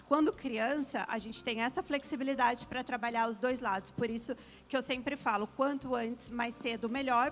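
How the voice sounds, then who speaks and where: Uma mulher brasileira de meia-idade, especialista em educação internacional, explicando com calma e muitos "né" numa entrevista. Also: female voice, fairly high pitch. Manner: explains at length, frequent "né" tags. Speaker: international education specialist, guest. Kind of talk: explanatory interview talk.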